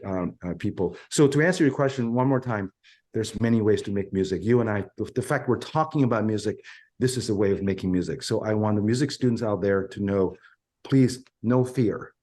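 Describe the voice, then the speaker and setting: Low-voiced man with a Chinese accent. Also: darkish tone. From China. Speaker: violin professor and interview guest. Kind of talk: conversational interview answers.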